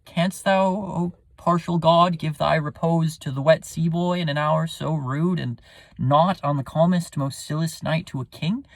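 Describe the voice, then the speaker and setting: A man's voice: on the high side, steady tone, measured pace, creaky, dry recording. A man acting a weary, sleepless king, pleading theatrically in a Shakespearean soliloquy. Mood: anguished, indignant. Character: weary, sleepless, troubled old-world king.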